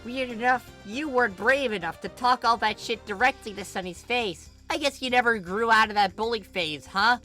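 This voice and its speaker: A furious young woman, acted out by a female narrator tears into people in a raised, forceful voice. She is a protective big sister in a dramatic story reading, sarcastic and cutting, with music underneath. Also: a little high, moderate pace, few pauses, voice pushed up. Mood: furious, scolding. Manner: scolding, sarcastic, emphatic.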